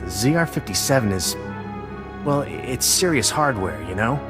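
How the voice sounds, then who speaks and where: Guy doing nervous awkward demolitions soldier, mid pitch, halting, music behind, game. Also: big pitch swings, lightly acted. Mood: jittery. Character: jittery, awkward male military explosives expert. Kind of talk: video game cutscene acting.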